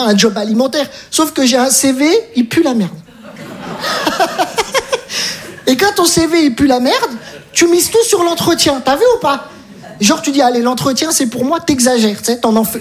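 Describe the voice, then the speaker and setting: A woman performing a stand-up routine, telling a story and acting out the dialogue with energy. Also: high-pitched. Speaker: stand-up comedian. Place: comedy stage. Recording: noisy live recording.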